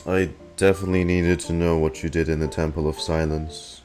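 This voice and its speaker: A man's voice: sits low, level tone, a little fast, a little mellow, faint music behind. A man giving casual live reaction commentary as a game streamer.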